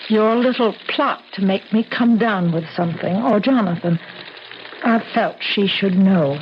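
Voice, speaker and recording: Actress as firm, concerned nurse figure, measured and steady, mid-pitched, on dull old radio with faint hiss. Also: female voice, natural voice. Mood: frank, careful. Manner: measured, steady, gently admonishing. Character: concerned, firm woman nursing a sick relative. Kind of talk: old-time radio drama acting.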